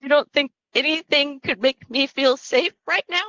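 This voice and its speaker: A woman's voice: sits high, normal speed, bright, forceful tone, no room sound, highs cut off. A woman playing a panicked, shaken young woman fleeing a killer, frantic and agitated in a horror table read. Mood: angry fear. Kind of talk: screenplay table read acting.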